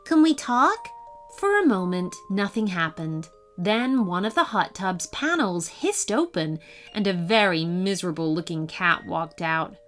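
Animated woman reading a bedtime story. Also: fairly low pitch, measured pace, faint music behind. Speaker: storyteller and channel host. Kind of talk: scripted children's bedtime story narration.

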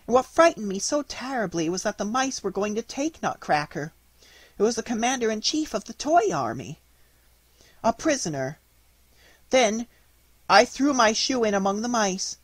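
Man voicing an anxious young girl, high-pitched. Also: dry recording. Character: young girl, anxious, earnest, breathlessly pleading.

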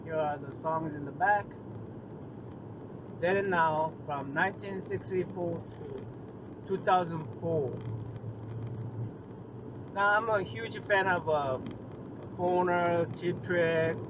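A man's voice: on the high side, steady tone, slow, long pauses. A middle-aged man in his fifties chatting to the camera while driving, rambling and enthusiastic, with lots of restarts and fillers. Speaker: music vlogger. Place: inside a car on a highway. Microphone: dashboard camera mic. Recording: dull lo-fi car audio with loud road noise.

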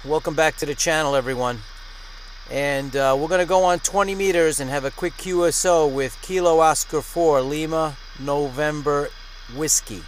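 A man's voice: fairly high pitch, steady tone, normal speed. A man who hosts an amateur radio channel, talking casually as he narrates and makes radio contacts. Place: small indoor radio desk at home. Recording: noisy room with radio static.

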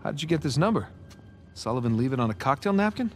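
A man's voice: fairly high pitch, very animated, fast talker. An actor playing a wisecracking male treasure hunter in a video game cutscene, talking with dry, joking banter over film score music. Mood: wry. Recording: music behind the voice.

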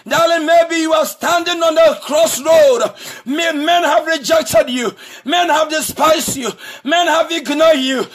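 A man preaching a sermon as pastor, shouting with a repetitive, sing-song cadence. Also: very high-pitched. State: married. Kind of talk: impassioned preaching.

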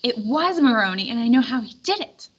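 A woman's voice: fairly high pitch, sing-song, brisk. A woman reading the part of a confident nineteenth-century woman detective in a storybook, firm and precise. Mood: sure, explaining. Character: firm, self-assured young woman detective of the 1800s. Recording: dull lo-fi recording with background noise.

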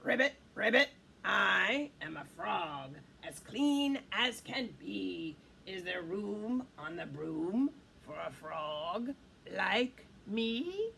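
Low, croaky storybook frog. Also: female voice, sing-song, very slow, bright, forceful tone, a little hiss or hum, exaggerated voice. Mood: eager, polite. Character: clean-mannered, polite little pond frog. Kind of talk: animated children's storybook reading.

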